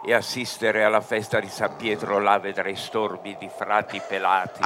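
A male actor playing a sly, mocking demon servant, measured and sardonic, in a theatrical radio drama. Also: on the low side, flat delivery, noisy background, lightly acted. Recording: music behind the voice.

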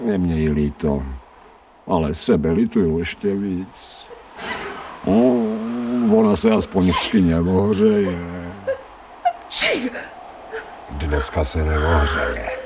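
An actor playing a scrawny, streetwise stray dog in a radio drama, grumbling in colloquial speech with sardonic self-pity. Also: male voice, low-pitched, very animated, vocal fry, distant mic, background noise, exaggerated voice. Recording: 1990 radio drama, off-mic.

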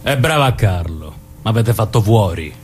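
An actor playing a dead mobster, bitter and reflective, reproaching in a southern Italian accent in a stage drama. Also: male voice, expressive, unhurried, soft background music. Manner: bitter, confiding, profane. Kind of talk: dialect stage drama acting. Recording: noisy recording with low rumble.

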